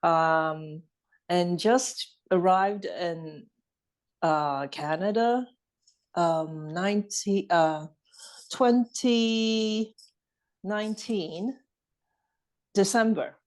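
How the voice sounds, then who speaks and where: A woman's voice: fairly low pitch, extremely slow, pauses often. A woman from Hong Kong, a guest in a casual chat, speaking hesitantly with many fillers.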